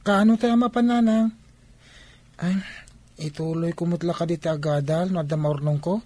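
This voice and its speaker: Casting: performer as hard-up, earnest pupil, Filipino radio drama, mellow and gently plaintive. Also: mid-range voice, moderate pace, dry recording, lightly acted. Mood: curious, hopeful. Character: earnest, hard-up schoolchild pleading with mother.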